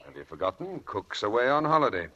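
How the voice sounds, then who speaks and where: Deep-voiced, smooth, sinister radio-drama husband. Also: male voice, big pitch swings, fast, sharp tone, lo-fi sound, exaggerated voice. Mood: coaxing, tender. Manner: breezy, coaxing, fond of endearments. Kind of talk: vintage radio drama acting. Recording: noisy old radio broadcast recording.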